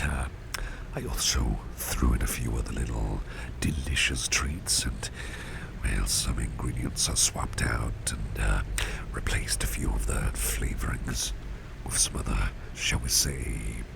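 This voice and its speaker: A man playing a doting, protective businessman boss in an ASMR roleplay, murmuring in a gentle, courteous, breathy whisper with unhurried, reassuring repetition. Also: a bass voice, exaggerated voice. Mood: caring, attentive. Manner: gentle, unhurried, hesitant pauses, repeats phrases reassuringly. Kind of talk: ASMR roleplay, close personal attention. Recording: audible steady background noise.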